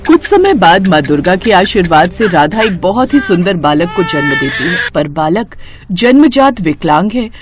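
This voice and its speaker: A woman's voice: lowish voice, sing-song, moderate pace. A woman reading a scripted devotional story as its storyteller. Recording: music behind the voice. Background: background music.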